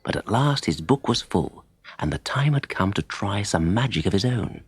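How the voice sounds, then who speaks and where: A man narrating a children's audiobook in an expressive, dramatic storytelling manner. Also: fairly low pitch, moderate pace, breathy edge, dry recording, soft background music.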